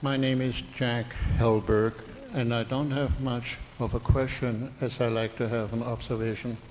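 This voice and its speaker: A man's voice: mid-range voice, little pitch movement, moderate pace, darkish tone. An older man with a German accent, speaking earnestly from the audience at a community meeting. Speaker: audience member. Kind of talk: personal remarks from the floor. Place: public meeting hall. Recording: reverberant hall with faint background noise.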